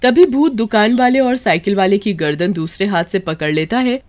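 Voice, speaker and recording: A woman narrating a scripted cartoon story in a steady storytelling manner. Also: medium pitch, moderate pace.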